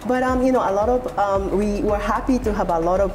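Middle-aged woman from japan, steady and measured, mid pitch, soft music under. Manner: steady, measured, frequent "you know". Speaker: hotel sales executive. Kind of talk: interview answers. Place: hotel lounge indoors.

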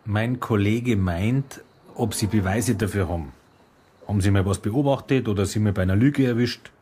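Male police sidekick, husky lower register, sceptical and dry; naturalistic radio drama. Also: normal speed, warm tone. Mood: probing. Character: male police detective's colleague, blunt, sceptical.

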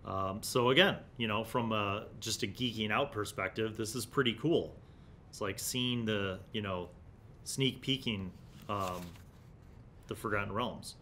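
Middle-aged man, rumbly background noise. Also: unhurried, fairly bright tone. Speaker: host of a tabletop gaming review series. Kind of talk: casual review commentary, reading magazine excerpts aloud. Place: small indoor studio room. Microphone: desk microphone in front of him.

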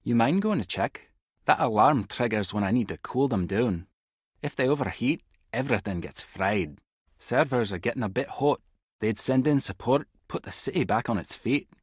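Husky, wry Scottish spy. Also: male voice, medium pitch, lively intonation, moderate pace, no echo, clean studio sound. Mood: wry, explanatory. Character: wry, clever male tech-savvy spy agent. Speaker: video game voice actor. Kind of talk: scripted adventure game dialogue.

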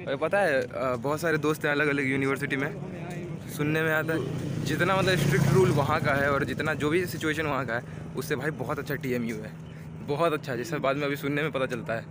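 A man's voice: a little high, fairly even intonation, a little fast, heavy background noise. A young man, chatting informally as he narrates a vlog into a handheld camera. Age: young adult. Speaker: student vlogger. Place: outdoors on campus, streets and station. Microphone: handheld camera at arm's length. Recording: music over outdoor phone-style vlog audio. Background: loud music.

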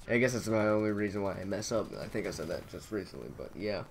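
Monotone young man rambling over gameplay. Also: on the low side, quick pace, vocal fry, a little hiss or hum. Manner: rambling, heavy on fillers. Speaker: gaming channel host. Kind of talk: casual gameplay commentary.

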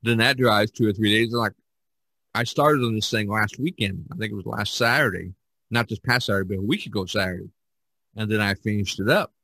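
A man's voice: fairly low pitch, fairly quick, sharp tone, no echo, rough recording. A man hosting a podcast in a casual, rambling and jokey way. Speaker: podcast host. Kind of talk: casual chat about painting.

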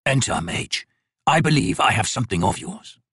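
A man voicing a bitter, chained, crippled god in an audiobook, rasping and wheezing, with slow, laboured, archaic speech. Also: mid-range voice, expressive, fairly bright tone, no echo, studio quality, exaggerated voice. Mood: menacing.

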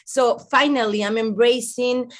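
A woman's voice: a little high, fairly even intonation, measured pace. A woman in her thirties with a Mexican accent, a native Spanish speaker talking animatedly and from the heart as an interview guest. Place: small furnished room at home, video call.

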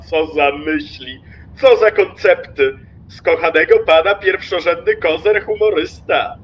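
An actor playing a pompous, jovially mocking railway stationmaster in an audio drama, effusive and theatrical, with drawn-out exclamations. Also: male voice, a little high, lo-fi sound, slight background noise. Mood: amused mockery.

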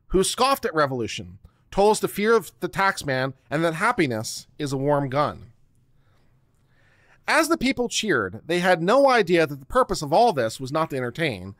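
A middle-aged man giving a theatrical, deliberate dramatic reading of a written text, close on the microphone. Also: sits high, a bit bright, no room sound. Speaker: host reading a document aloud. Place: small home studio room. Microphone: close microphone on a boom arm.

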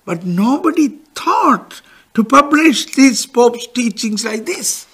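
Older man, high voice, slow deliberate catechism teacher, studio lapel mic. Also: lively intonation, fairly bright tone, husky. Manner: gestures broadly while explaining, pauses for interpreter. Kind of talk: catechism lesson with interpreter. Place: studio with backdrop.

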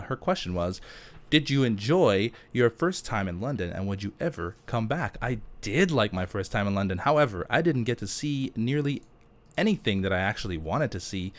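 Rambling man chatting over gameplay. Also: on the low side. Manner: rambling, thinking aloud. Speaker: gaming YouTuber. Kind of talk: casual gameplay commentary with question-and-answer chat.

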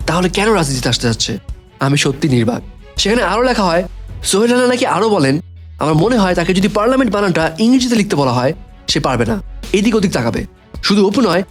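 A man with a Bangladeshi accent reading scripted entertainment gossip news as a narrator. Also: sits high. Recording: music bed behind voice. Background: background music.

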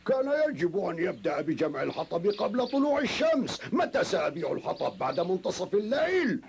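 A man's voice: high-pitched, big pitch swings, fairly quick, gravelly, a little mellow, slight background noise, exaggerated voice. A man playing a cruel, greedy elder brother in a children's cartoon, scolding and giving harsh orders. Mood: bullying, scornful. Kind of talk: dubbed children's cartoon acting.

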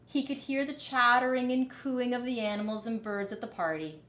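A middle-aged woman reading a children's picture book aloud deliberately and expressively in a living room. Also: on the high side, steady tone, a bit bright, roomy sound. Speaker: storyteller, teacher. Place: living room, indoors on a couch.

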